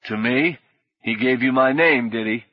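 A man's voice: medium pitch, measured pace, dry recording, highs cut off, lightly acted. A man voicing a blunt, ill-tempered male mercenary swordsman who speaks tersely. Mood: gruff.

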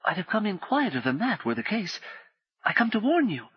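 A man voicing a small, nervous, streetwise potboy who talks in a slangy, hushed whisper. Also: high voice, huge pitch swings, fairly quick, breathy edge, no room sound, muffled recording, pitched above the performer's own voice, full character voice.

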